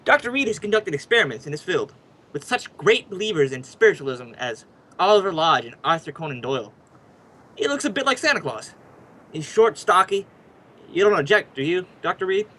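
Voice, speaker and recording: A man's voice: high voice, sing-song, a little fast, bright, forceful tone, full character voice. A man acting a brash, wisecracking ghost hunter who hosts a radio program, in a chatty, showy old-time radio drama style.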